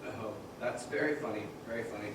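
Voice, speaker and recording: A man's voice: on the low side, a little fast, recorded from a distance, natural voice. A man playing a bitter, despairing, weary man in a live radio drama, curt and sardonic. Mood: weary, sceptical. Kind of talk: live old-time radio drama acting. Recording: echoey, noisy theater stage from afar.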